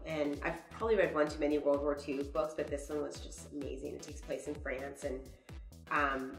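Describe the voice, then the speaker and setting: Woman in her 30s, low pitch, chatty interview guest, heard from a distance in an echoey room. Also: level tone, normal speed, vocal fry. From Dallas, USA. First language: Spanish. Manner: chatty, laughs often, trails off mid-sentence. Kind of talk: casual interview chat. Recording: echoey, from far off, faint music.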